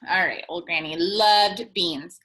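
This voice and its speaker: A woman teacher reading a children's storybook aloud in an animated way, drawing words out for emphasis. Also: fairly low pitch, slow.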